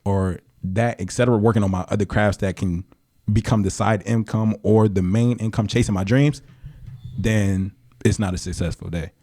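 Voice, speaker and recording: A young man with a very low voice talks as a podcast guest. His delivery is relaxed and slangy, full of fillers as he rambles about music. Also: moderate pace. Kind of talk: casual podcast chat.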